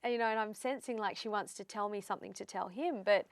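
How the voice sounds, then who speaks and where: A woman's voice: mid-range voice, fast talker, no echo. A woman in her thirties from Australia with an Australian accent, a psychic medium telling her story in a casual chat and acting out the lines she quotes. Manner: chatty storyteller, acts out quotes.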